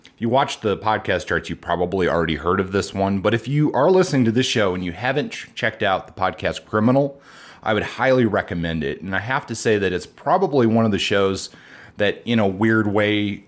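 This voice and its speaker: Deep-voiced male podcast co-host. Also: a little fast. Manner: relaxed, rambling with restarts. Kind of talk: casual chat.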